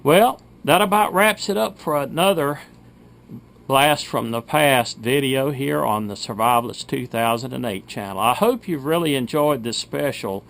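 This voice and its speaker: A middle-aged man with a gravelly voice, chatting casually in a folksy way as he hosts a vintage gadget showcase. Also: mid-range voice, moderate pace. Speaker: video host. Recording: steady background noise.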